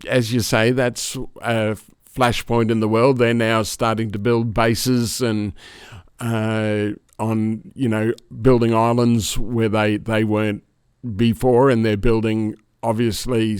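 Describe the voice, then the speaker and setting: A man's voice: on the low side, steady tone, measured pace, clear, resonant tone, dry recording, studio quality. A man hosting a podcast, asking a guest conversational, curious questions. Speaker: podcast host. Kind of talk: interview questions.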